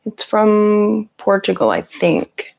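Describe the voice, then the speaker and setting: A woman with a raspy voice, sick with a cough, chatting casually on a livestream from her home office on a lapel mic and greeting viewers by name. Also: mid-range voice, big pitch swings, slow pace, a little mellow. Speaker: livestream host. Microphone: lapel mic on her shirt.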